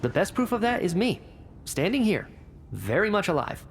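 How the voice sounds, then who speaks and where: An actor playing a confident, determined researcher in a video game, articulate and deliberate in delivery. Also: mid-range voice, sing-song, a little hiss or hum. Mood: assured, defiant.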